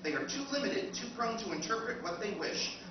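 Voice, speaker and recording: A man plays a solemn, deluded warship computer for an old-time radio play. The delivery is formal and grand, pitched fairly high. It comes through a very echoey hall with clear noise over it. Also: expressive, a little fast. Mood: vigilant. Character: solemn, deluded, militaristic female lifeboat computer, motherly and stubborn. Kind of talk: live recreation of 1950s radio science fiction. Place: convention performance room, picked up from afar. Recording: echoey convention hall, distant muffled noisy recording.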